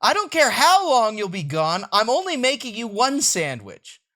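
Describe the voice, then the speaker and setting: A man's voice: very high voice, huge pitch swings, moderate pace, bright, forceful tone, exaggerated voice. A man voicing a stern, exasperated mother from a comic strip, with emphatic scolding delivery.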